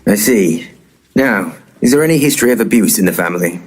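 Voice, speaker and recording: Man playing a very deep-voiced, pompous ghost hunter. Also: animated, fairly quick, husky. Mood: officious. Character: pompous, eccentric male paranormal investigator, brazenly unbothered. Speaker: actor in an audio comedy drama. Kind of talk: audio play dialogue, dry comedy.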